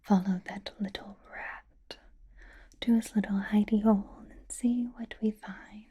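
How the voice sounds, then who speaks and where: Slow breathy whisper, dark and soft, woman acting as a flirty possessive vampire lady, asmr roleplay. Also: medium pitch, steady tone. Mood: scheming. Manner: slow, teasing, drawling endearments. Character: teasing, possessive, flirtatious female vampire at a gala.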